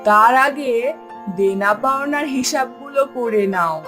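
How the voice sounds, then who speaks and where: A woman performs a status-conscious, demanding groom's mother in a cartoon. She talks slowly and deliberately in a fairly high voice. Soft music runs behind her. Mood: haughty, demanding. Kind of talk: cartoon dialogue acting.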